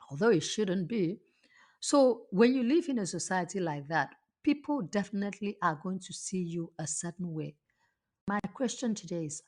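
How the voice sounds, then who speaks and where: A woman speaks in a plain, mid-pitched voice, earnest and reflective as she tells a personal story. She often stumbles and repeats words before finding her point, like a motivational host thinking aloud. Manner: earnest, with frequent restarts and repetitions.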